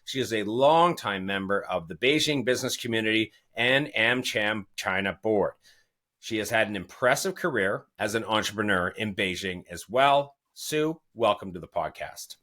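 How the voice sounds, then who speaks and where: A man's voice: fairly low pitch, expressive, moderate pace, bright, forceful tone. A man hosting an interview podcast who wanders into digressions and asides before his questions. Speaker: podcast host.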